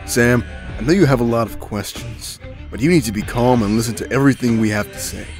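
A man playing a grave, stern mentor in an audio drama, speaking in a solemn, measured and insistent way. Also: mid-range voice, darkish tone, soft background music, natural voice. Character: grave, stern male mentor of a chosen hero. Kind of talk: scripted audio drama acting.

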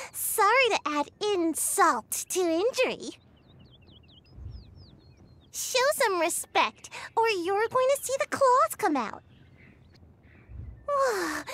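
A woman's voice: very high-pitched, pushed and bright, a little hiss or hum, exaggerated voice. A woman voicing a cute, cheeky young fox-girl champion in a video game, with perky, playful short lines. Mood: playful, upbeat, then cheeky warning.

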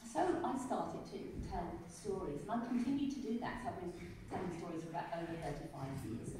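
Lively English woman storyteller, echoey hall. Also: fairly low pitch. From England. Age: middle-aged. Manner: lively, self-mocking anecdotes with laughs. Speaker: author and storyteller. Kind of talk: talk at a writers' event. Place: large glass conservatory with a seated audience. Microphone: podium mic heard from the back of the room. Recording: echoey hall from far off, noisy.

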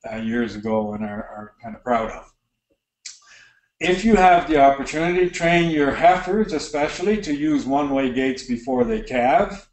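A middle-aged man with a Canadian accent gives a practical slide lecture on barn design, relaxed and full of fillers and restarts. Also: medium pitch. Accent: Canadian English. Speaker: dairy barn design consultant. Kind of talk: lecture narration over slides. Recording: roomy echo.

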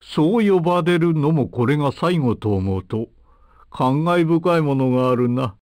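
A man voicing a dignified old warrior with a formal, measured, courteous manner, in anime fighting game style. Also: fairly high pitch, animated, darkish tone, no echo, clean studio sound. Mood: calm, dignified.

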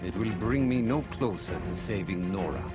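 Casting: icy scientist villain in a video game, low register, clinical formal menace. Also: male voice, expressive, moderate pace. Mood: grim devotion. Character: cold, grieving bald villain scientist in a cryogenic suit. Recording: loud music under the voice.

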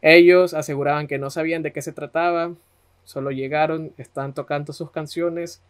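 A man's voice: fairly high pitch, fairly even intonation, moderate pace, clear, resonant tone. A man co-hosting a podcast, telling a story in a conversational way. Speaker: podcast co-host.

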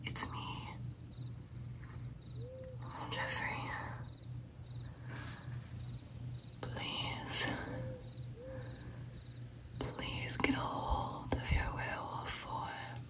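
Woman playing a slow, whispering vampire girlfriend. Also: far from the mic. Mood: anxious pleading. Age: young adult. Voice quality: breathy whisper. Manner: slow, deliberate, intimate, coaxing. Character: devoted, earnest female vampire girlfriend and council member. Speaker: ASMR roleplay performer. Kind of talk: whispered ASMR roleplay. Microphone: close ASMR microphone.

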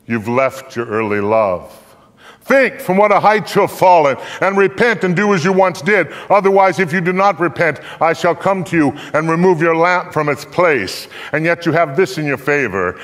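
A man's voice: on the high side, fairly bright tone. An older man giving a solemn, declamatory live recitation of scripture on stage, drawing out phrases for emphasis. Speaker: dramatic reader on stage. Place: theater stage, indoors. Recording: echoey hall with long reverb.

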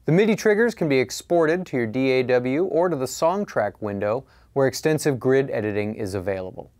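A man in his forties giving a scripted product review in a measured, clearly articulated presenter style. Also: mid-range voice, expressive, darkish tone, soft background music.

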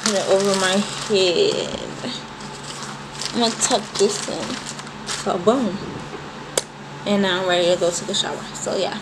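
Young woman, casual chatty vlogger, mid pitch, talks straight to camera from a small bedroom with noise behind her. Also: unhurried, long pauses. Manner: chatty and animated, addresses viewers as y'all. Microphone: handheld phone camera, then propped on desk.

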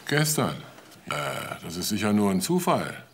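A man's voice: lowish voice, very animated, moderate pace, background noise. A man playing a wry, persistent police detective in a crime drama, dry, polite and probing. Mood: dry, insistent. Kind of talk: German TV crime drama acting.

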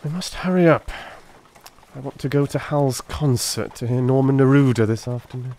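A man's voice: medium pitch, moderate pace, warm tone, natural voice. A man voicing a brilliant, self-assured detective in a dramatised audiobook, explaining with confidence. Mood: animated, assured. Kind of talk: dramatised audiobook character acting.

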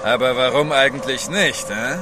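An actor playing a coarse, menacing sailor bully in a German audio drama, drawling and taunting. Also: male voice, medium pitch, moderate pace, background noise, natural voice. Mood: gloating, leering. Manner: drawling, taunting, with leering chuckles.

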